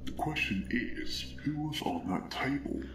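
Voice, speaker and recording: A man voicing a brooding, hot-tempered pony police detective in a dramatic story reading, with a grim, deliberate delivery. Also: mid-range voice, animated, fairly bright tone, a little hiss or hum, voice pushed up. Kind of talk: dramatic fan fiction reading.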